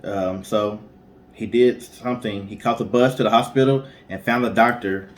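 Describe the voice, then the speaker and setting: A man's voice: lowish voice, steady tone, normal speed. A man co-hosting a casual storytelling show, speaking conversationally with informal asides. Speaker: co-host.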